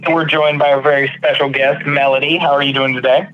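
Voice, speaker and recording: Higher-pitched man, phone-like audio. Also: level tone, fast talker, soft background music. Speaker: podcast host. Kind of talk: casual interviewing. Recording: thin phone-like narrow-band audio.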